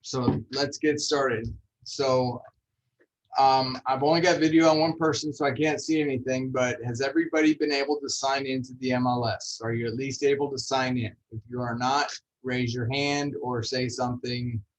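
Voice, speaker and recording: A man walks a group through a training session over a video call. His voice sits at an ordinary middle pitch, and he keeps things relaxed and chatty, dropping jokes as he goes. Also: moderate pace, poor audio. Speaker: MLS trainer. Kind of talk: casual online training session.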